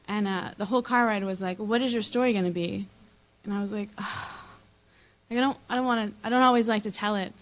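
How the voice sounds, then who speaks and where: A woman from the United States in her thiries giving a candid, self-deprecating recovery share, rambling with many fillers. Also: mid-range voice. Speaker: recovery meeting speaker. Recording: dull lo-fi recording.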